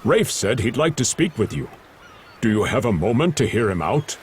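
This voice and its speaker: An actor playing a gentle, thoughtful doctor in a video game cutscene, with a polite, considered way of speaking. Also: male voice, mid-range voice, sing-song, normal speed, slight background noise, natural voice. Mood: calm, reflective.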